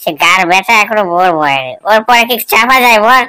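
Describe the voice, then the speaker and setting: Woman acting a bright, self-pitying cartoon husband. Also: medium pitch, animated, a little fast, smooth. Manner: complaining, coarse, slangy. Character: miserable married nephew, comically self-pitying village man. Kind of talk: cartoon comedy dialogue.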